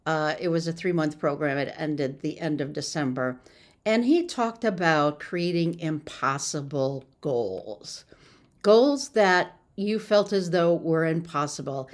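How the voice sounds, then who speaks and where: A woman's voice: low voice, a little slow. A woman giving an informal, encouraging motivational talk as a success coach, sometimes stumbling over her words.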